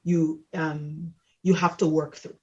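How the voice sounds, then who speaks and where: A woman's voice: deep voice, flat delivery, takes it slowly, dark tone, breathy edge, dull audio. A woman talking as a guest, reflective, turning to her spouse as she speaks. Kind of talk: casual conversation.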